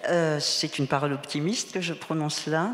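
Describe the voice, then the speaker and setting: A novelist from the Moselle part of Lorraine, whose first language was a Franconian German dialect, talking animatedly on a book-fair panel with lots of repeated words and restarts. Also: female voice, low voice, fairly even intonation, moderate pace. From Moselle, eastern Lorraine, France. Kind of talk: round-table discussion at a book fair. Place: public event hall. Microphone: table microphone. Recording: echoey hall with long reverb.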